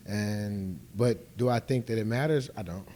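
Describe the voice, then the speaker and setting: A man's voice: on the low side, unhurried, warm tone, a little hiss or hum. A man in his thirties, an ironworker, talking casually on a discussion panel with lots of "like" and "you know". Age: 30s.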